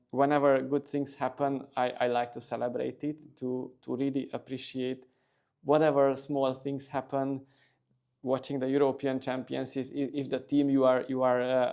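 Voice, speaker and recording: Gravelly-voiced man, calm and thoughtful. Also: medium pitch. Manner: thoughtful, steady, many fillers and restarts. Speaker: interview guest, company executive. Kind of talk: casual interview talk.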